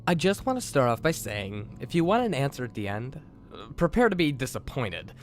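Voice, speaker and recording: A man's voice: medium pitch, big pitch swings, brisk, faint music behind. A man hosting and narrating a scripted creepypasta horror story. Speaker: host and narrator.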